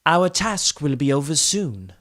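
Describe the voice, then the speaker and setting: A man's voice: fairly high pitch, big pitch swings, measured pace, a bit bright, voice pushed up. Guy doing a sneaky young elf lord, smug and teasing, fantasy audiobook villain. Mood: smug, scheming. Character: sly, treacherous young dark-elf nobleman.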